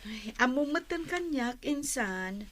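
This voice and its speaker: A woman plays an obliging younger village woman in a radio-style drama. Her voice sits very high, and she comes across as humble and hardworking. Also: expressive, measured pace. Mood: casual. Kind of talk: Ilocano audio drama dialogue.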